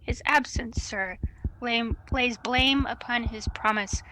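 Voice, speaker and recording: A courteous noble guest from Shakespearean radio drama, acted by a young woman. The female voice is sharp and a bit high, over faint noise. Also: takes it slowly, poor audio. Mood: deferential. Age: young adult. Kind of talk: Shakespearean radio play acting.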